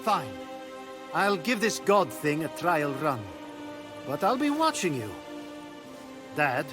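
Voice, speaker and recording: An expressive young hero, slow-paced. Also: male voice, a little high, over music. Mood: wary, firm. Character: plucky young mortal hero newly made a god.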